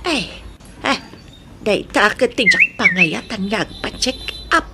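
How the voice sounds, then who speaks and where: A woman's voice: medium pitch, very animated, a little slow, very noisy. A woman playing an ailing, weary elderly mother with a Filipino accent in a radio drama. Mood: weak, complaining.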